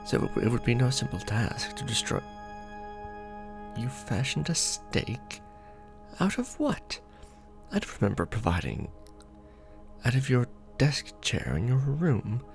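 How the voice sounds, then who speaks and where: A man playing an ancient vampire lord in an ASMR romantic roleplay, formal and protective, with measured, theatrical diction. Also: medium pitch, sing-song, gravelly, music in the background. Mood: grave, then exasperated. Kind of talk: ASMR romantic roleplay, scripted character acting.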